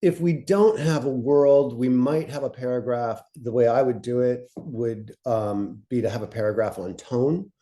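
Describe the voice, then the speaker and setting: A man giving an informal instructional talk as a panelist, explaining things in a measured, conversational manner. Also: mid-range voice, mellow. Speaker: panelist, fiction podcast director.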